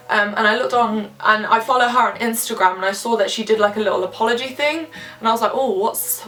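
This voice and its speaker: A woman's voice: mid-range voice, a bit bright, recorded from a distance, poor audio. A young woman of about twenty, slightly stuffy from a cold, chatting candidly to camera with lots of filler words while she does her makeup. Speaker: vlogger. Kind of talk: casual chat to camera. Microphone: camera some distance away.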